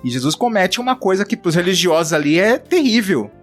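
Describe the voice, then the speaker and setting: Brazilian male co-host, slightly high voice, easygoing and joking, faint guitar behind. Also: a little fast. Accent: Brazilian Portuguese. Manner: relaxed, joking, acts out quotes. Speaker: podcast co-host. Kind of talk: casual Bible commentary chat.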